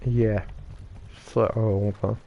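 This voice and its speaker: A man giving casual gameplay commentary in a halting, stuttering way, with many restarts and fillers. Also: lowish voice, flat delivery, vocal fry, lo-fi sound, a little hiss or hum.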